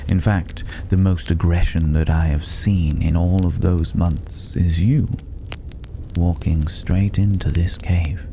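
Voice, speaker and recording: A man playing a mysterious, courteous stranger in an intimate fantasy ASMR roleplay, speaking softly and pensively in formal, old-fashioned phrases, sometimes near a whisper. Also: low-pitched, steady tone, slow pace, creaky, noisy background, heavily acted. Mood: reflective, intrigued. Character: mysterious, courteous male stranger living in a dragon's cave.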